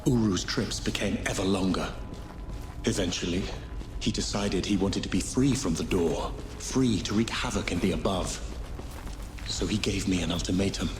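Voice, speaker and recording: An actor playing a weary, regretful death god in a video game, speaking in a solemn, measured way. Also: male voice, sits low, music in the background, natural voice. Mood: somber confession. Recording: roomy echo, noisy game ambience.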